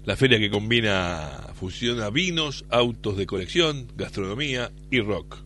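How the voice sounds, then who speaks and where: A man's voice: on the low side, expressive, measured pace, sharp tone, slight background noise. An Argentine man in his fifties hosting with casual, jokey, slangy banter and repeated catchphrases. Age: 50s. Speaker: radio host.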